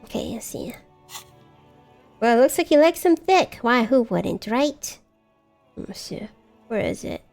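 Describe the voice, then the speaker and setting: High, lively woman streamer. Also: a little slow, vocal fry, long pauses, faint music behind. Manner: giggly, animated, reacts loudly. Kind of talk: casual live-stream game chat.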